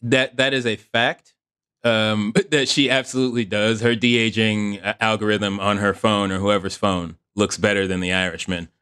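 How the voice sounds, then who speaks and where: Sick male co-host, smooth mid voice, wry and deadpan. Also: on the low side, moderate pace. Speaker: podcast producer and co-host. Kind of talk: casual comedic chat.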